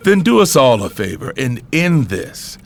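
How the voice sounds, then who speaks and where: A man's voice: mid-range voice, sing-song, unhurried, gravelly, heavily acted. A man playing a blunt, commanding middle-aged father and boss, stern and emphatic in an audio drama. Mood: stern, exasperated.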